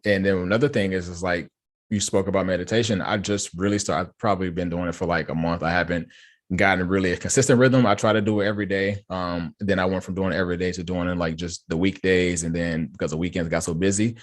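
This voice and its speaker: This is a man with a low voice hosting a podcast. He talks casually and reflectively, in an agreeable, affirming way. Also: fairly even intonation, quick pace. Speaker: podcast host. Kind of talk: casual conversational interview.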